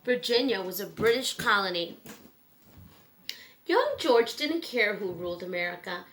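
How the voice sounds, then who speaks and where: A woman reading a children's book aloud in a simple, measured storytelling manner with pauses. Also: mid-range voice, lively intonation, a bit bright, a little hiss or hum, poor audio.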